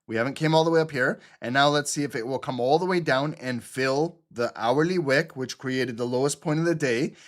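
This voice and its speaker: A man hosting a live trading stream, walking viewers through chart setups in a teaching manner, checking in with "okay?" and "right?" and often pausing on fillers. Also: mid-range voice. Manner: explanatory, repeats "okay?" and "right?", frequent fillers. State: throat clearing, choking on water. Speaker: trading channel host. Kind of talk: live market analysis walkthrough.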